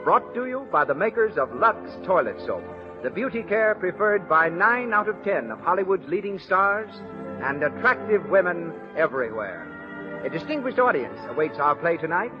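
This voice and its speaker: A man's voice: high voice, very animated, normal speed. An American man announcing in a formal, polished 1930s radio style and reading sponsor messages as a showman would. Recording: dull 1930s radio recording, music behind.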